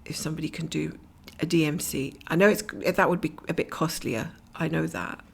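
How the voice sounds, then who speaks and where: Croaky middle-aged woman chatting while stitching. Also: low-pitched, fairly even intonation, normal speed. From Scotland. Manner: rambling, chatty, often drops to a whisper. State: croaky, blocked nose. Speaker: cross-stitch vlogger. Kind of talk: casual stitch-along chat. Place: at home, camera over her stitching hoop.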